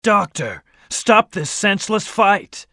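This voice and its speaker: Moody game hedgehog, slightly high voice, solemn and intense, dry sound. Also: male voice, big pitch swings, takes it slowly, sharp tone, no room sound, heavily acted. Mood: determined. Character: brooding, serious young male hedgehog antihero. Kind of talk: video game voice acting.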